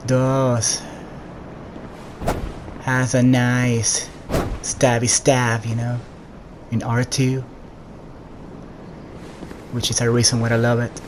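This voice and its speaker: Male gamer, unhurried and monotone, laid-back casual commentary, clear background noise on the recording. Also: mid-range voice. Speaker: gamer commentating on own playthrough. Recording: noisy home gameplay recording.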